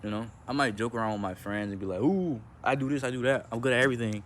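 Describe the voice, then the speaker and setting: A man's voice: mid-range voice, fast talker, slight background noise. A young man chatting casually in a rambling vlog, slangy and laid-back, with a nonchalant delivery. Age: young adult. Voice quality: monotone at times. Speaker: vlogger and amateur musician. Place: outdoors.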